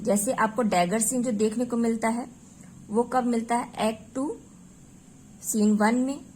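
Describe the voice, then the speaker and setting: A woman's voice: on the high side, unhurried, darkish tone, a little hiss or hum, rough recording. A woman teaching exam prep, asking rhetorical questions, answering them herself and correcting herself as she goes. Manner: mixes Hindi with English terms; corrects herself mid-sentence.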